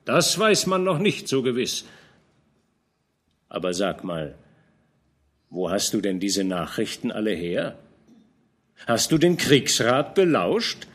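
A man voicing a shrewd, fatherly older field captain who speaks in a knowing, admonishing way, with lively pitch. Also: slow pace, pauses often, heavily acted. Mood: probing.

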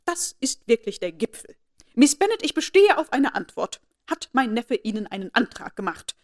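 A woman's voice: high-pitched, animated, moderate pace, voice pushed up. A woman voicing a haughty, imperious elderly noblewoman who speaks in a commanding, formal and indignant way.

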